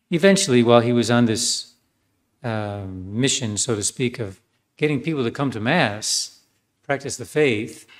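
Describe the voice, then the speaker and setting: A priest giving a sermon, telling a story in a conversational way with many fillers and ironic asides. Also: male voice, mid-range voice.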